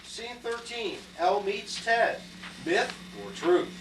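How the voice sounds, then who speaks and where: Female play narrator, scripted scene announcements, echoey room with clear background noise. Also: mid-range voice, slow, far from the mic.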